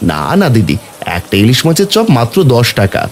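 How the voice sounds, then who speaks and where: A man's voice: medium pitch, wildly swooping pitch, brisk, slight background noise. A man voicing an earnest, humble village fisherman and father in a Bengali cartoon. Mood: polite. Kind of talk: Bengali cartoon story voice acting.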